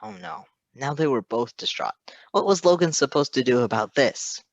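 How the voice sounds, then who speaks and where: A man reading a story aloud in an expressive scripted narration style. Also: a little high, moderate pace, creaky, no room sound, lo-fi sound. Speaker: podfic reader.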